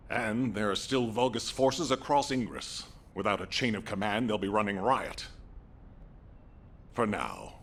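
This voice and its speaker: An actor playing a steady, resolute male military commander in a video game, speaking in a firm, sober, deliberate way. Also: on the low side, a little hiss or hum. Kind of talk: scripted video game dialogue.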